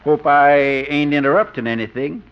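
A man's voice: a little high, normal speed, close to an everyday voice. A man playing a folksy, nosy, teasing small-town marshal in 1950s radio comedy, drawling and cackling. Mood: sly, teasing. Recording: noisy, muffled old radio recording.

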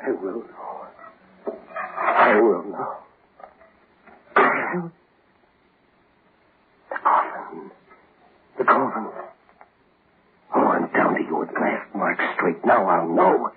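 An actor playing a grief-crazed, vengeful man in a melodramatic 1930s radio horror play, halting and repeating himself obsessively. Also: low-pitched, lively intonation, narrow, tinny audio, heavily acted. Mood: feverish, desperate. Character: grief-crazed vengeful man hunting his wife's killer among graves. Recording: noisy, band-limited 1930s radio recording.

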